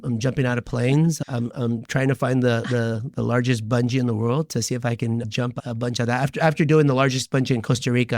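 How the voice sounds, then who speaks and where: A man's voice: mid-range voice, darkish tone, no echo, clean studio sound. A man in his fifties, a guest in a candid podcast interview, speaking in a reflective way and often repeating words as he starts a sentence. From Southern California. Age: 50s. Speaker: guest, former mayor and wine shop owner.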